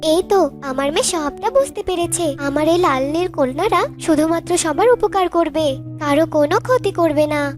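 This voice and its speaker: A woman's voice: very high voice, normal speed, music in the background. A woman voicing a stern, emphatic mother bird in a cartoon tale. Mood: proud. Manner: reproachful, emphatic. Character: scolding mother bird, stern but proud.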